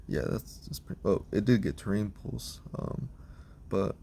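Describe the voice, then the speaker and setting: A hoarse man with a raspy voice that is giving out, giving a casual, rambling breakdown of his team with lots of ums and restarts. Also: fairly low pitch, lively intonation, measured pace, warm tone, a little hiss or hum. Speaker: video creator explaining his team.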